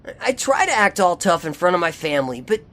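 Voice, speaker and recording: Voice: mid-range voice, sing-song, fast, a bit bright. An actor playing an earnest, war-weary young male ninja turtle in an audio drama, speaking hesitantly in slang. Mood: downcast, frustrated. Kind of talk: fan audio drama acting.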